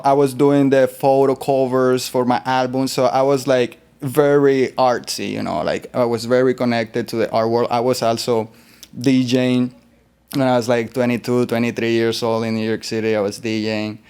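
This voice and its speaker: A man from Colombia, a guest artist in an interview, talking in a relaxed, rambling way with fillers. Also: medium pitch, moderate pace, clear, resonant tone. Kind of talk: interview conversation.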